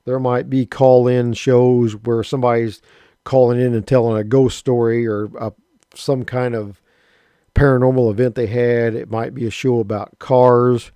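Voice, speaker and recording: Rambling folksy man with a mellow voice. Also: medium pitch, little pitch movement, moderate pace, clear, resonant tone, dry recording. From Southern Illinois, USA. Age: 50s. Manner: rambling, folksy, with mild cursing and frequent restarts. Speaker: radio hobbyist vlogger. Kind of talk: casual spoken reminiscence.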